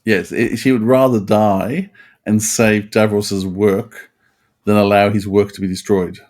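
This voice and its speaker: A man's voice: lowish voice, steady tone, unhurried, a little mellow. A man musing earnestly in a podcast discussion, thinking aloud in a reflective way. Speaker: podcast panelist.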